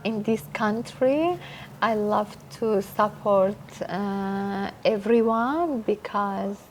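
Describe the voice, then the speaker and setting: A woman speaks slowly and carefully in a casual interview, pausing to find her words in English. She laughs often as she talks. A faint background noise runs beneath her voice. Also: mid-range voice, rough recording. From Iran. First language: Farsi. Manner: halting non-native English, laughs often. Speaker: interview guest, social media influencer.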